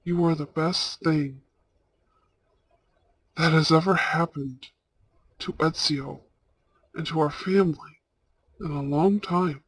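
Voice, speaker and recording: A man playing a warm, protective older brother from a mafia family, speaking tenderly and earnestly in a romantic audio roleplay. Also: on the high side, steady tone, slightly rough, no room sound, full character voice, low-quality recording. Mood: earnest, relieved. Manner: tender, earnest, halting delivery with sprinkled Italian endearments.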